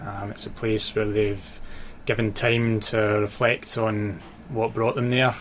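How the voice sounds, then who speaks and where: A Scottish man with a low voice and little rise or fall talks casually as an interview guest. His accent blends Dundee, Glasgow and Edinburgh, and he pauses to think. There is some noise behind him. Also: little pitch movement, fairly bright tone. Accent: Scottish, mix of Dundee, Glasgow and Edinburgh. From Dundee, Scotland. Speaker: interview guest, author and sports journalist. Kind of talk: casual radio interview chat. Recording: clear background noise.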